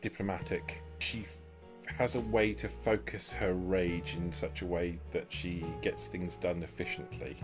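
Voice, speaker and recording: A man playing a shrewd, self-serving vampire negotiator in tabletop roleplay, speaking formally and deliberately with halting pauses. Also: sits low. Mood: grave, explaining. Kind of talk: in-character tabletop roleplay dialogue.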